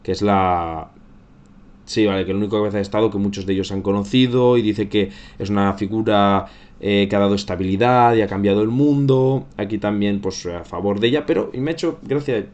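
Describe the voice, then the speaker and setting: A man with a low-pitched voice and an accent from Spain talks through current affairs live. He is conversational and unscripted, often doubling back to repeat or reword a phrase as he goes. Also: fairly quick, a little mellow, muffled recording. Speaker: host of a current affairs livestream. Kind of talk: casual live news commentary.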